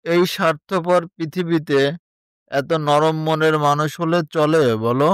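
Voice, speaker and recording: A man acts out a kind, concerned male friend in an animated moral story. His voice is fairly high and clear, recorded dry. He talks sympathetically, like someone offering advice. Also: unhurried, no echo, natural voice. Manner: sympathetic, advising. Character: kind, concerned male confidant of a mistreated girl.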